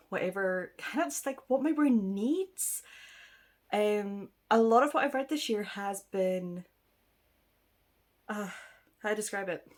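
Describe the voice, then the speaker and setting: A woman rambling casually to camera about her reading, sniffly from a cold, chatty and self-deprecating with frequent ums and laughs. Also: mid-range voice. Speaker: book vlogger. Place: indoors at home.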